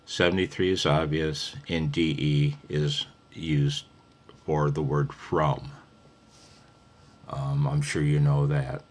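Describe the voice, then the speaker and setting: A man's voice: very deep voice, little pitch movement, takes it slowly. A man giving a casual, unscripted hobby tutorial, rambling conversationally as he thinks aloud.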